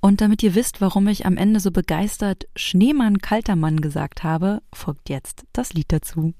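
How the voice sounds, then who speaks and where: A woman's voice: mid-range voice, moderate pace, warm tone. A middle-aged woman, hosting a homemade audio piece and casually telling personal stories. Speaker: host of a private homemade audio play.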